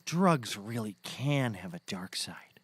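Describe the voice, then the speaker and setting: A man's voice: lowish voice, sing-song, slow pace, fairly bright tone, breathy edge, dry recording, exaggerated voice. A man playing a naive, eager, earnest young male sidekick in a scripted audio comedy.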